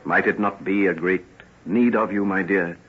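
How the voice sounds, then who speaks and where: An actor playing a troubled, remorseful gentleman doctor, earnest and theatrical, in an old-time radio drama. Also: sits low, steady tone, moderate pace, husky. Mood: tender, coaxing. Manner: earnest, theatrical, formal phrasing. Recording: muffled, noisy old radio recording.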